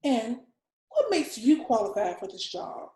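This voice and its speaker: A brash comedy best friend, acted by a woman, high-voiced and swooping in pitch. She is blunt, slangy and sassy, recorded in an echoey room. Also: very animated, a little slow, mellow. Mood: blunt, stern. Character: brash, crude, funny woman friend Kelly babysitting. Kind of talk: comedy script table read.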